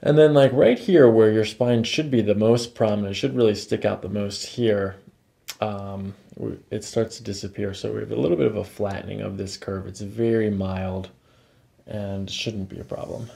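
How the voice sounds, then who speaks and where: A very gravelly American man with a dark, relaxed voice talks through a chiropractic exam. He explains calmly and puts short, clipped questions to the patient. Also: lowish voice, normal speed. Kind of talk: hands-on clinical exam narration, ASMR. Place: small carpeted clinic office, indoors.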